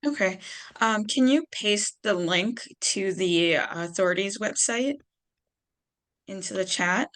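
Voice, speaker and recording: A woman chairs a meeting over a video call, speaking in an ordinary mid-pitched voice. She asks polite questions and fills her pauses with ums. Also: a bit bright. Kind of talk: online meeting discussion. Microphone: computer mic on a video call.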